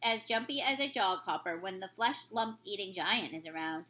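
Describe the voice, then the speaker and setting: A woman voicing a gentle, nervous male giant from a storybook, with quaint, folksy, mangled grammar. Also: mid-range voice, moderate pace, voice pushed down, lightly acted.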